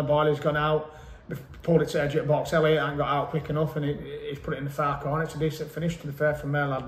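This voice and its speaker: A man, a football manager giving a measured, matter-of-fact post-match interview with frequent hesitant ums. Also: a little high. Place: small indoor club office. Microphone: camera mic at close range. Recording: echoey room, faint background noise.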